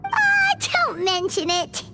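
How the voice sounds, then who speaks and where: A woman voicing a small, excitable, naive mascot companion in a video game, squeaky and childlike, exclaiming wide-eyed. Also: takes it slowly, exaggerated voice. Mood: delighted. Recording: loud music behind.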